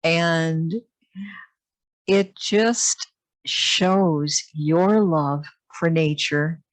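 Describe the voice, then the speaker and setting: A woman's voice: sits low, drawn-out words, no echo, rough recording. A woman hosting a podcast, interviewing a guest and praising her work effusively. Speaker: podcast host.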